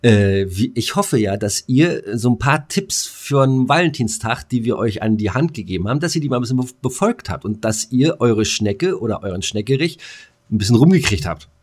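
A man talks as a podcast co-host in a loose, colloquial way. His pitch sits in the middle of the male range. He is blunt and swears freely as he banters and rants. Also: animated, a little fast, slightly rough. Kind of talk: casual podcast banter and ranting.

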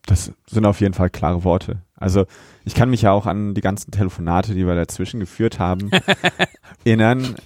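Low-voiced man in casual podcast chat. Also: normal speed, a little mellow, dry recording, studio quality. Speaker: podcast co-host.

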